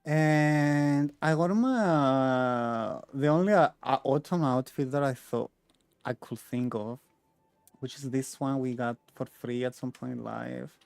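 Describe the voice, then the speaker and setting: A man's voice: mid-range voice, a little slow, vocal fry, a little mellow, clean tone, no room sound, soft background music. A man giving casual gaming commentary as a streamer, chatty and thinking aloud as he plays. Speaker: game streamer.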